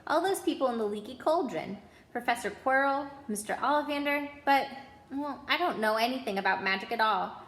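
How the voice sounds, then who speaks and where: Woman as a bewildered young boy, bright and echoey. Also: fairly high pitch, animated, fairly quick. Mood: bewildered, worried.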